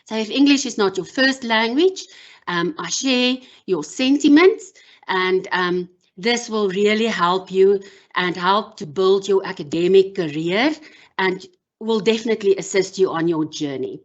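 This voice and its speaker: A woman whose first language is Afrikaans gives a measured online workshop as a university lecturer, with frequent pauses and ums. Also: mid-range voice, dull audio. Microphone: video call.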